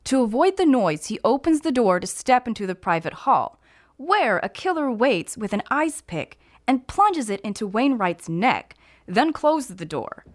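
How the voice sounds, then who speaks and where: Shrewd, particular male sleuth played by a female narrator, deliberate and very varied in pitch, storybook mystery. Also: sing-song. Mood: probing, deliberate. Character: fussy, shrewd man who sleuths and walks with a cane.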